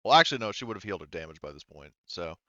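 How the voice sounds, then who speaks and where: A man game mastering a tabletop roleplaying session, thinking aloud in an informal, halting way. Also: sits low, very animated, bright, forceful tone, no room sound, lo-fi sound.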